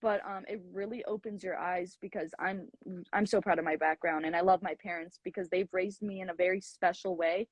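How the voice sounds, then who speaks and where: A woman's voice: on the low side, steady tone, brisk, no echo, rough recording. Young college-age woman, dry close sound. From United States. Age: around 20. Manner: chatty, frequent fillers. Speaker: college golfer, guest. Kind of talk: casual interview answers.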